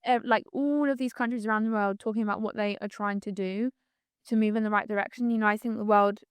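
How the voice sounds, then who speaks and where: A woman's voice: medium pitch, steady tone, fast talker, clear, resonant tone, dry recording. Chatty young woman. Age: 20s. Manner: chatty, reflective, many "like"s and "you know"s. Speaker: podcast guest. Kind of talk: casual conversational interview.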